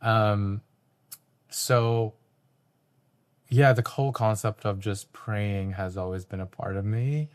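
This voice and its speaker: A young man from Canada, a guest artist, talking in a relaxed, reflective way with frequent ums. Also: fairly low pitch, slow pace, long pauses. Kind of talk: casual interview talk.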